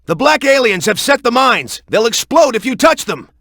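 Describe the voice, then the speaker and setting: A man's voice: extremely high pitch, very animated, moderate pace, fairly bright tone, no echo, clean studio sound, heavily acted. A man playing a tough, hot-headed young hero in a video game, speaking in a brash, urgent, punchy way. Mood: urgent, alert. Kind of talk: video game character voice clips.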